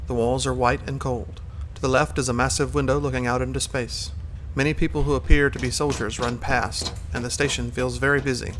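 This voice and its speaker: A man's voice: mid-range voice, little pitch movement, a little fast, few pauses, fairly bright tone, slight background noise. A man giving scripted third-person narration for an audio drama.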